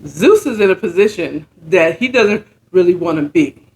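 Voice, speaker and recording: A woman informally retelling a story in a halting, conversational way, often checking in with her listeners. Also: fairly low pitch, lively intonation, darkish tone, rough recording. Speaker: storyteller retelling a book chapter.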